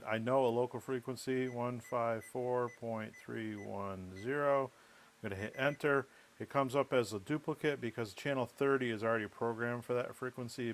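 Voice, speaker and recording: A man's voice: medium pitch, fairly bright tone. A man explaining things step by step in a casual, matter-of-fact way, as in a hands-on how-to video. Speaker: presenter of a how-to electronics video.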